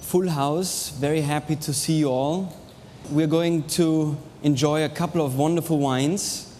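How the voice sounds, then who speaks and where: A man's voice: a little high, fairly even intonation, warm tone, slight background noise. Austrian man presenting in a big hall. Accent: American-learned English over an Austrian base. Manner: showman's pauses, chopped phrases, dry jokes. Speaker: wine tasting host. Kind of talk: live presentation to an audience. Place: large hall with a live audience. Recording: reverberant hall, faint ambience.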